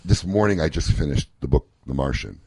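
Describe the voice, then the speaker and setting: A deep-voiced man hosts a radio talk show, bantering and ranting with lively humor. His voice is low and husky, recorded dry and close on a studio mic. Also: brisk, no echo. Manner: joking, animated rants. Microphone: studio microphone.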